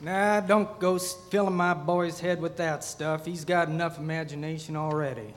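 A man's voice: fairly high pitch, fairly even intonation, moderate pace, natural voice. A man playing a plain-spoken, skeptical father in a live audio theatre comedy. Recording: live hall reverb, faint noise.

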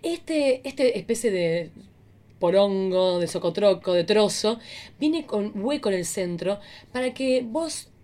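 A woman with an Argentine accent chatting casually as a podcast co-host, expressive, bawdy and joking. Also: mid-range voice, slow. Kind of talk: casual comedic chat.

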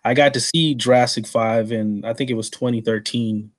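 A man's voice: mid-range voice, steady tone, moderate pace. A man from Texas with an American accent, interviewing someone in a casual, conversational style. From San Antonio, Texas, USA.

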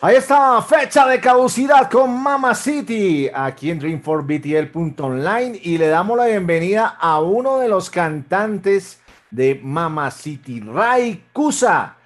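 A man hosting an online radio show, rambling and friendly. His voice sits fairly high, and faint salsa music plays in the background. He talks casually, calling his guest "brother". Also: animated, measured pace. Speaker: radio host. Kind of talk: casual interview.

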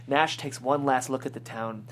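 A woman's voice: a bass voice, steady tone, normal speed. A woman reading scripted stage directions as the narrator at a table read.

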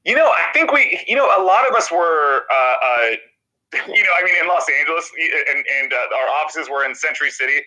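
A man's voice: on the high side, lively intonation, bright, forceful tone, poor audio. A man in his thirties with a nasal voice, a TV comedy writer chatting as a podcast guest, restarting sentences often. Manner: halting, many restarts and fillers. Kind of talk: casual interview chat.

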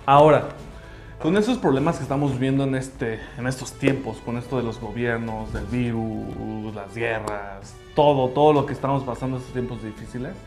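A man's voice: medium pitch, normal speed. Earnest man, native English speaker, roomy echo. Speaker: language school director. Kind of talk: motivational promotional talk. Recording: roomy echo with faint music.

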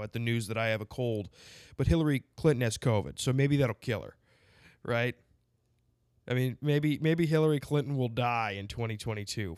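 Close on a dry, dead podcast mic, a man with a cold hosts his show in a rambling, sarcastic way. He keeps circling back with fillers and restarts, his pitch sitting at a middling level. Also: dry recording. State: has a cold with a sinus infection. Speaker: podcast host. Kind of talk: casual podcast interview.

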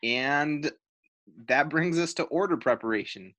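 A man hosting a casual sports podcast, self-mocking and awkward, talking fast in bursts. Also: fairly high pitch, fairly bright tone, dry recording, poor audio. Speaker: podcast host. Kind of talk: casual chat.

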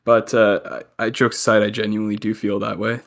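A man's voice: fairly low pitch, flat delivery, brisk, gravelly. A young man, a guest on a podcast, joking and telling stories in casual chat while recording remotely over a call. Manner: chatty storyteller, joking.

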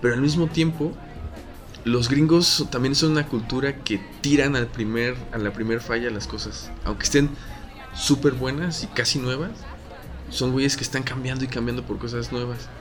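A man's voice: mid-range voice, fairly even intonation, normal speed, soft background music. A man with a Mexican accent co-hosting a casual podcast chat, slangy and joking, rambling with restarts. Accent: Mexican Spanish. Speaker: podcast co-host.

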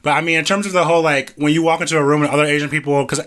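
A man's voice: a little high, fairly even intonation, very fast, a bit bright. A man in casual chat who rambles, fills his speech with "like" and often restarts.

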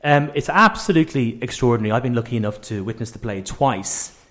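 A man's voice: medium pitch, animated, quick pace, fairly bright tone. Effusive, rambling male radio host. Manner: effusive, rambling, repeats phrases. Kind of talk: casual conversational interviewing.

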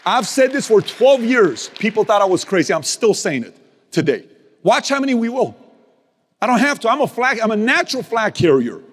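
A man's voice: high voice, big pitch swings, normal speed, husky, big-room sound. A man giving a motivational speech to his team, emphatic and repetitive, with casual slang and mild cursing. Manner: emphatic, repeats key phrases, swings from loud to hushed. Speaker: business leader speaking to his team.

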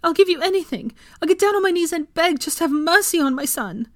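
Audiobook role: anguished, high-strung mother in middle age, pleading, raised high by a female reader. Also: lively intonation, fairly quick, a bit bright, dry recording, studio quality. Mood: desperate pleading.

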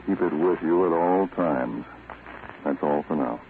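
A man playing a terse, authoritative counter-spy chief in a 1940s radio drama. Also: rumbling low. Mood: curt, secretive. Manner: terse, authoritative, deliberate instructions. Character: commanding male American counter-spy chief, brisk and secretive. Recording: tinny, noisy vintage radio recording.

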